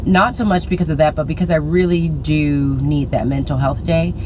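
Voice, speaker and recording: A woman's voice: low voice, fairly even intonation, moderate pace. A woman teacher vlogging in a casual, rambling way, tired and congested, talking from a car on a windy morning. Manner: rambling, self-correcting, chatty. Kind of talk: casual vlog monologue. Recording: loud road noise.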